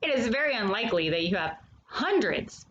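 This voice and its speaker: Female storytime host, higher-pitched and animated, speaking straight to young kids, some background noise. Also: muffled recording. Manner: addresses young listeners directly, with questions and pauses. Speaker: host of a children's storytime, reading a picture book aloud. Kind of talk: read-aloud children's nonfiction story with brief asides.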